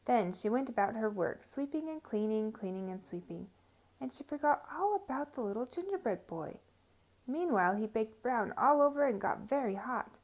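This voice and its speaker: A woman storyteller reading a children's tale aloud with expressive, emphatic phrasing. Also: on the high side, moderate pace.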